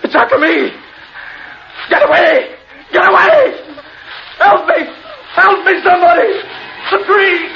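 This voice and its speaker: Anxious gentleman in muffled vintage radio horror. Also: very high-pitched, slow pace, gravelly, pauses often, a little hiss or hum, full character voice. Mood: terrified screaming. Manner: theatrical, exclamatory. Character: anxious gentleman, spooked then terrified by evil tree. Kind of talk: 1940s radio horror acting. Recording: muffled old radio broadcast, music behind.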